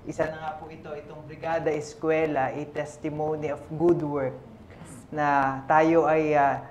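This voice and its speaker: A young man, a church member guest on a talk show, answering earnestly with frequent "ah" pauses. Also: a little high, little pitch movement, darkish tone, dull audio, slight background noise. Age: young adult. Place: small TV studio set. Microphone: lapel mic. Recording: roomy studio sound.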